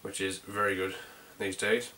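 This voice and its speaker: This is a man with a North Yorkshire accent talking his way through a homemade beer review. His voice sits a touch low but comes across bright and forceful. He rambles in a friendly way, full of fillers and restarts. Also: little pitch movement, moderate pace, slight background noise, rough recording. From North Yorkshire, England. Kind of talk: casual video beer review.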